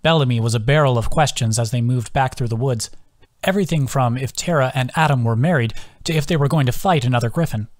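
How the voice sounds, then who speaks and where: A man's voice: medium pitch, quick pace, dry recording, studio quality. A man reading his own fantasy novel aloud as scripted audiobook narration.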